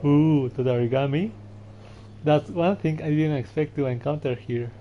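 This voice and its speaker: A man's voice: on the high side, normal speed. Casual male gamer, dull lo-fi mic. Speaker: game streamer. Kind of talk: casual gameplay reactions. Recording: dull lo-fi mic, faint noise.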